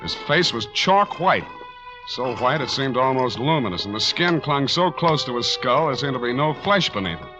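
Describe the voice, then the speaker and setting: An actor playing a sardonic, hardboiled private detective, wisecracking and narrating in 1940s radio style. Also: male voice, mid-range voice, big pitch swings, normal speed, natural voice. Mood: wry, atmospheric narration. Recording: dull 1940s radio recording, music behind.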